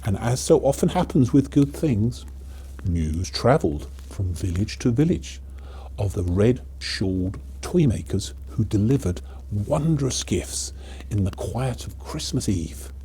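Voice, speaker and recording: A man narrating a children's storybook in an expressive, theatrical, measured way. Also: fairly low pitch, slight background noise.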